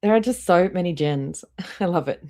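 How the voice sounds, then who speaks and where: A woman's voice: fairly low pitch, expressive, normal speed, warm tone. A woman hosting a casual reaction livestream, commenting bluntly and critically.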